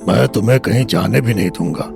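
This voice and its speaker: A man playing a low, gravelly, possessive older lover. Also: brisk. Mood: besotted. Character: besotted, possessive older man, hard-drinking head of household. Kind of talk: Hindi audio drama acting. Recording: music bed behind the voice.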